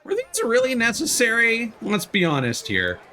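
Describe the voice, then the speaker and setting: A man's voice: very high-pitched, huge pitch swings, moderate pace, sharp tone. A man hosting a livestream, chatting casually and commentating on his game with rambling, wry asides. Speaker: livestream gamer and host. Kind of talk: casual gameplay commentary and chat.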